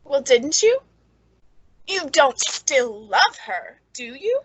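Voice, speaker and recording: A woman's voice: high-pitched, very animated, slow pace, no echo, exaggerated voice, low-quality recording. An actress playing a lonely, eager, nervously polite woman in an old-time radio suspense drama, hesitant and genteel. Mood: uneasy, probing.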